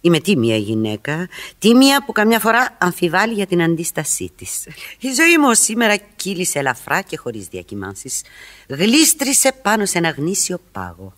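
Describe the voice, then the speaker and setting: A woman's voice: lowish voice, big pitch swings, a bit bright. A teasing proud married lady, radio theatre style, coy and theatrical, voice goes up and down a lot. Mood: musing, dramatic. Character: flirtatious, proud married society woman, coyly virtuous. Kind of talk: Greek radio theatre acting.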